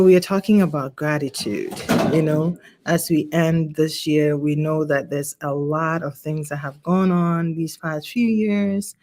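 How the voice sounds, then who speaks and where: A woman's voice: low voice, a little mellow. Woman hosting, asking long questions. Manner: welcoming, poses long questions. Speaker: host. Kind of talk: hosted interview.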